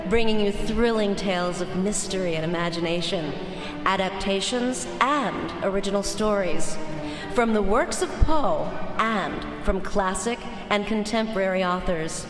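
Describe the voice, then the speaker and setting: A woman's voice: mid-range voice, a little slow. A woman announcing a radio theater show in a projected, proclaiming, theatrical style. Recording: echoey hall with music. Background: music.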